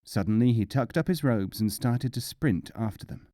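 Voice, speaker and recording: Mellow, expressive male storyteller. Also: mid-range voice, moderate pace, no room sound, studio quality. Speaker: audiobook narrator. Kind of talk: scripted storybook narration of humorous folk tales.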